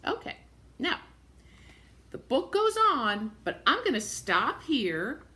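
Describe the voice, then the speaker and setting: A woman reading a picture book aloud to young children, expressively, pausing to point things out in simple words and repeating phrases. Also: a little high, sing-song, sharp tone. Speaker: storybook reader for young children.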